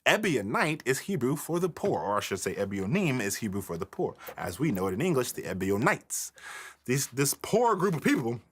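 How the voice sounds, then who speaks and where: A man teaches in a loose, improvised lecture style. His pitch moves around a lot, and his delivery is colloquial and emphatic, leaning hard on key words. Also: big pitch swings, normal speed, husky. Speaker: religious history teacher.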